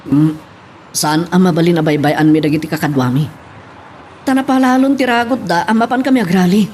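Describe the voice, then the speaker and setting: A man's voice: sits high, fairly quick, a little mellow, lightly acted. A man playing an earnest, defiant young male protester in a radio-style drama. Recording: faint background noise.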